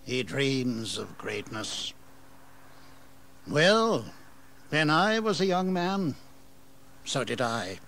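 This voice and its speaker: An actor playing a weary, proud older colonial governor in an audio history drama, with a stately delivery. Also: male voice, medium pitch, big pitch swings, very slow, vocal fry, pauses often, a bit bright, exaggerated voice. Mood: weary, reflective.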